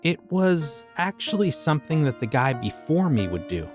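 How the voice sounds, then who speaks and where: A man's voice: on the high side, very animated, normal speed, music in the background. A man playing an offhand, creepy occult shopkeeper. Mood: easygoing. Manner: casual, easygoing and offhand about dark things. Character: friendly but creepy male occult shopkeeper, young adult. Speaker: voice actor. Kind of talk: ASMR audio roleplay.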